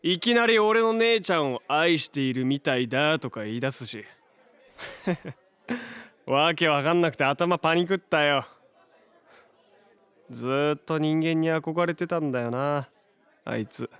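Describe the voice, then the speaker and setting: A man playing a hot-headed, flustered young hero with a soft heart in a Japanese superhero audio drama, brash and slangy in delivery. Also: fairly high pitch, unhurried, long pauses, clean tone, no echo. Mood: regretful, tender. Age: young adult.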